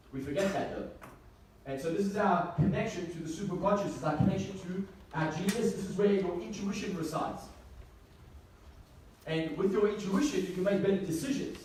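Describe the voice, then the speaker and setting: A man's voice: high-pitched, darkish tone. A man giving a motivational seminar talk to a live audience, emphatic, repeating key phrases and asking rhetorical questions. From South Africa. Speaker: motivational speaker. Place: echoey indoor venue with an audience. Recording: echoey room, distant mic, faint noise.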